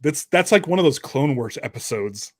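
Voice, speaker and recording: A man's voice: mid-range voice, expressive, moderate pace, no echo, studio quality. A man hosting a podcast in casual, conversational chat. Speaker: podcast host.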